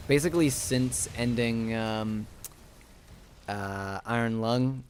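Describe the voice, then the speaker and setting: A young man streaming a game, sleepy and a little raspy, rambling casually to chat as he plays. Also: mid-range voice, slow pace, darkish tone, soft background music. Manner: rambling, talks to chat while playing.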